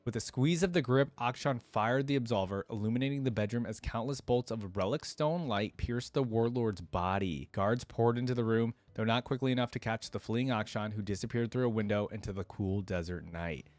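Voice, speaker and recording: A man's voice: mid-range voice, a little fast, few pauses, darkish tone, no echo. A man giving casual, enthusiastic, slangy reaction commentary and reading a story aloud as host.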